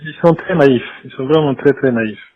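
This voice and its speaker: Guy on a tinny phone call, hesitant and deferential, mid pitch, keeps repeating words. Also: moderate pace. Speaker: former government official briefing by phone. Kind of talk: informal phone conversation. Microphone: telephone. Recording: tinny, band-limited phone line.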